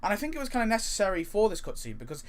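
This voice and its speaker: A young man with a slightly high voice gives casual countdown commentary for a gaming video. He rambles along and doubles up words for emphasis. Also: wildly swooping pitch, racing.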